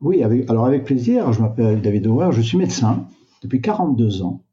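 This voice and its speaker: An older man talks slowly, stopping often to search for his words. His voice is very dark and mellow. The recording is dull and lo-fi. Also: mid-range voice, sing-song. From Canada. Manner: slow, reflective, many hesitations. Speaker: guest, doctor. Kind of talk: interview answers. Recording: dull, lo-fi sound.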